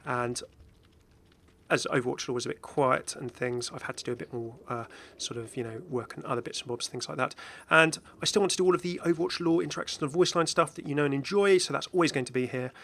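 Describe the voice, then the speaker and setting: Chatty man with bright, creaky voice. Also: medium pitch, fast, slight background noise. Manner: chatty, rambling. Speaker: gaming YouTuber. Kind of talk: casual unscripted video commentary and channel update.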